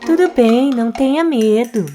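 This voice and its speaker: A woman voicing a cheerful, reassuring young witch girl in a children's cartoon, expressive and soothing, with music behind. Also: fairly high pitch, normal speed, natural voice.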